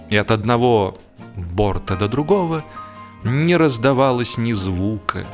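A man narrating a humorous literary story as a radio actor, expressive and theatrical, drawing out words for emphasis. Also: mid-range voice. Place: radio studio.

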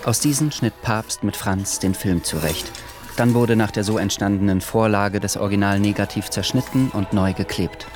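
Low-voiced man narrating a radio drama. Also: moderate pace. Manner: calm literary storytelling. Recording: music underneath.

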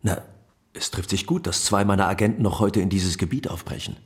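A man acting an obliging military officer in a radio play. His voice is on the low side, and his delivery is brisk, polite and dutiful. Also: lightly acted.

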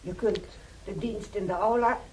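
A woman's voice: mid-range voice, fairly even intonation, a little mellow, breathy edge, rough recording. An actress playing a tired but hopeful female hospital patient in a radio play, weary and plain-spoken. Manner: slow, weary.

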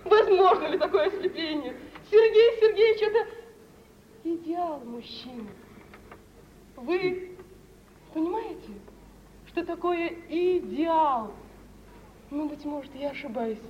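High-voiced, impassioned young bride. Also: female voice, animated, echoey room, heavily acted. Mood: fervent, adoring. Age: young adult. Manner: impassioned, theatrical delivery with weighty pauses. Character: proud, sensitive young dowerless bride, yearning and wounded. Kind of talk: 1950s Russian radio theatre acting. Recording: muffled, hissy mid-century radio recording.

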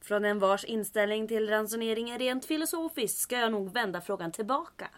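An actress playing a capable, wry secret heroine pilot, brisk and teasing, in old-time radio adventure style. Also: female voice, on the high side, close to an everyday voice.

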